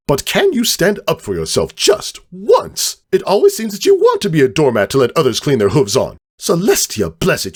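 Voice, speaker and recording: A man's voice: a little high, breathy edge, voice pushed up, full character voice. A man voicing a male farm pony who compulsively blurts blunt, scolding thoughts in an exasperated ramble.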